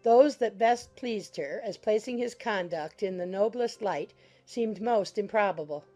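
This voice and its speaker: Mid-pitched woman narrating over soft music. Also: measured pace. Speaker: audiobook narrator. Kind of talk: scripted literary narration.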